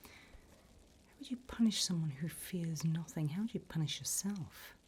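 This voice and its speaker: A woman voicing a polite, devilish female demon job interviewer in a whispered ASMR roleplay, with a breathy, mock-formal delivery. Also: low-pitched, lively intonation, darkish tone, a little hiss or hum. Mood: sly, businesslike. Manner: slow, deliberate, mock-formal.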